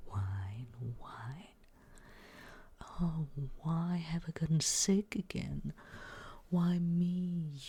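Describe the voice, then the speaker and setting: A performer voicing a smug, mocking young male roommate in an ASMR roleplay, with a breathy, husky voice that often slips into a whisper and a condescending, taunting drawl. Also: mid-range voice, dark tone, exaggerated voice. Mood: whiny mimicry. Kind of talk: ASMR roleplay, slow teasing monologue.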